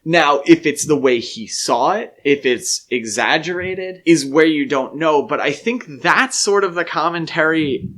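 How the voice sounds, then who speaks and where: A man's voice: on the high side, expressive, measured pace, fairly bright tone. A man co-hosting a casual film discussion podcast, arguing his opinions at length. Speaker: podcast co-host.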